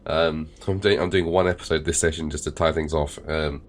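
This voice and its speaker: A deep-voiced man with a stuffy, nasal sound comments on a game in a loose, rambling way. He leans on fillers and now and then a swear word. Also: fairly even intonation, fairly quick. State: nasal and congested, recovering from illness. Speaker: let's play host. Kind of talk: casual gameplay commentary and reading game text.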